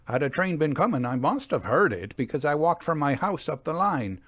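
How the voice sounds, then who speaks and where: A man's voice: on the high side, sing-song, moderate pace, a bit bright, no echo, studio quality, voice pushed up. A man voicing a plain-spoken, respectful working signalman in a ghost story reading, with emphatic, colloquial speech. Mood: firm, respectful.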